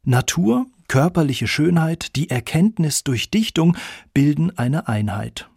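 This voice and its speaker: A man's voice: on the high side, expressive, a little slow, gravelly, a little mellow, slightly rough, no room sound, studio quality. A man reading scripted narration as the reporter of a radio feature.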